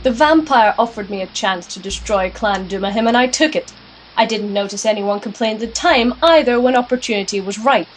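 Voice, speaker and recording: Woman acting a high-voiced, sardonic alchemist rebel. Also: fairly quick, soft background music, close to an everyday voice, rough recording. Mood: challenging. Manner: wry, assertive. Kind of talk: first-person narration and audio drama acting.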